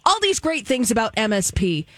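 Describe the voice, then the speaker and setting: A woman's voice: on the high side, sing-song, moderate pace, fairly bright tone, no echo, studio quality. A woman hosting a radio show in a conversational style, introducing and prompting a guest.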